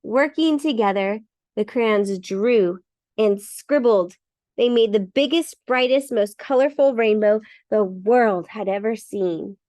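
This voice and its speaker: A woman reading a bedtime story for children in an expressive, emphatic way. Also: medium pitch, slow, dry recording. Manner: expressive, emphatic with repeated words. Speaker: storybook reader.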